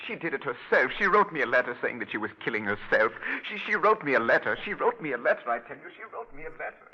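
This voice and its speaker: A man's voice: medium pitch, very animated, fast talker, breathy edge. A man playing a desperate young defendant, frantically repeating his protests in an old radio drama. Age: young adult. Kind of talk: 1940s radio drama acting. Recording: dull vintage radio recording.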